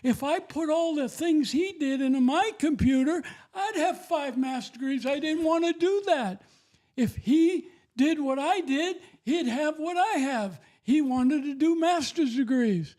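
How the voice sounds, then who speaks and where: A man's voice: very high voice, a little slow, a little mellow. An older man giving an emphatic, repetitive, preachy testimony about faith and raising children.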